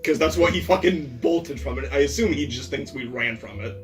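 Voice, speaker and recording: A man's voice: mid-range voice, a little fast. A man chatting casually and jokingly as a player in a tabletop game. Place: room, in-person game session. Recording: distant mic under ambient music. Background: ambient music.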